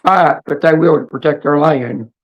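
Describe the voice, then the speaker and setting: A woman with a hoarse, raspy voice hosting a casual livestream chat in a rambling, halting, blunt way. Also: low-pitched. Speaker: livestream host.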